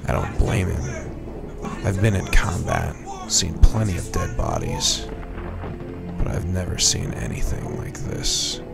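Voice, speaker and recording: A man playing a world-weary older police captain, narrating a noir comic-book audio drama in a hushed, brooding way. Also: deep voice, wildly swooping pitch, creaky, over loud music, exaggerated voice. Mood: grim, weary. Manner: slow, brooding.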